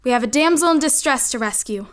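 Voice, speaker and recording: A woman's voice: high-pitched, animated, fast talker. A woman playing a commanding, coaxing older sister, a scheming girl from an old-fashioned household, in an audio drama. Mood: bossy, conspiratorial.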